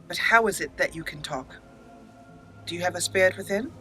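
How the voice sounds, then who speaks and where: A woman's voice: fairly low pitch, brisk, pushed and bright, faint music behind. A woman hosting a gaming video, giving let's play commentary and roleplaying her character's lines.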